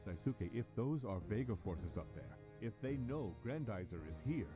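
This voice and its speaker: An actor playing a stern, worried older father in a dubbed game, speaking gravely over game music. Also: fairly low pitch, expressive, moderate pace, lightly acted. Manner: cautioning, grave. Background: game soundtrack music.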